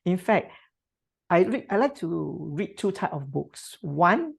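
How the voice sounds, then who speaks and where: A woman from Singapore giving thoughtful interview answers, with many pauses and tag questions. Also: lowish voice, very animated, gravelly, husky. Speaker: podcast guest.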